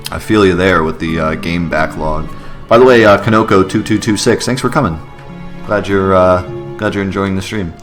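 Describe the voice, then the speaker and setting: A man's voice: sits low, moderate pace, fairly bright tone, faint music behind. A man livestreaming a game playthrough, chatting casually to his viewers between readings of the dialogue. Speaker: livestream game player voicing all characters.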